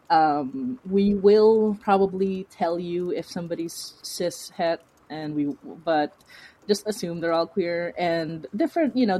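A woman talking casually as an interview guest, in an informal, animated, rambling way with a giggly streak. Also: lowish voice, poor audio. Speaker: podcast creator and writer being interviewed.

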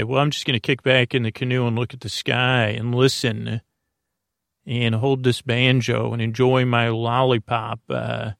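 A man hosting a bedtime podcast, talking softly in a lulling, meandering way, full of fillers and restarts. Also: mid-range voice, creaky, dry recording, studio quality. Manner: soft, lulling delivery. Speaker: podcast host. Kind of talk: rambling bedtime podcast talk.

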